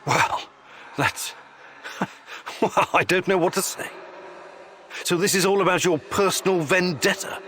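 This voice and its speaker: A man's voice: high voice, unhurried, pauses often, pushed and bright, slight background noise. An actor playing a tough, blunt, skeptical male protagonist in a video game, confrontational and sardonic. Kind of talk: video game dialogue acting.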